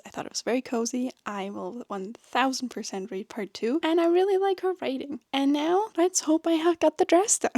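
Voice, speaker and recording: Female vlogger, non-native English speaker, higher-pitched voice, casual review chat with chuckly asides, dry sound. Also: darkish tone, no echo. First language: not English. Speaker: book and sewing vlogger. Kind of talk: casual chatty review to viewers.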